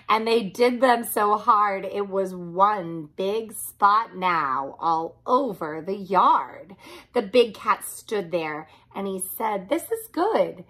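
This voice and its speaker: A woman in her thirties reading a children's story aloud in an expressive, deliberate way, stretching key words. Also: medium pitch, husky. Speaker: childcare reader. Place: small furnished room at home, indoors.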